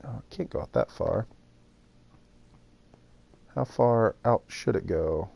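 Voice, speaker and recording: Slow, mellow-voiced man streaming gameplay. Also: on the low side, animated, vocal fry, slightly rough. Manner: thinks aloud while building, talks to chat. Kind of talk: casual live gameplay chat.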